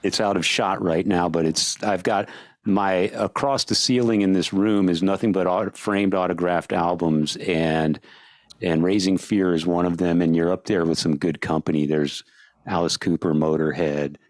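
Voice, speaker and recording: A man's voice: low-pitched, steady tone, normal speed, no room sound. A man hosting a podcast, asking questions in casual conversation from a room at home. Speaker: podcast host. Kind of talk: casual interview chat. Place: room at home with framed albums.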